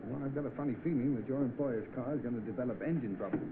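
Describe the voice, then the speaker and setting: A wary, scheming boyfriend acted by a man in a vintage film. He talks fast and clipped, with a knowing manner, over a tinny, noisy soundtrack. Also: medium pitch, close to an everyday voice.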